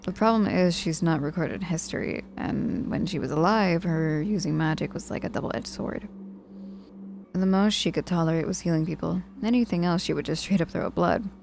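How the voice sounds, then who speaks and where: A woman's voice: on the low side, moderate pace, creaky, darkish tone, a little hiss or hum. A woman playing a sardonic but caring young witch in an intimate ASMR audio roleplay, speaking casually and teasingly with feigned reluctance. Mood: wry, chatty.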